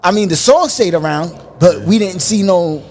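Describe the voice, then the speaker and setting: A man from the Bronx talks on a casual podcast debate. His voice sits a little high, and he stalls on fillers and repeats himself before making his point. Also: expressive, normal speed, a little mellow. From the Bronx, New York. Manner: hesitant, repeats words and fillers, laughs often. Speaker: podcast guest.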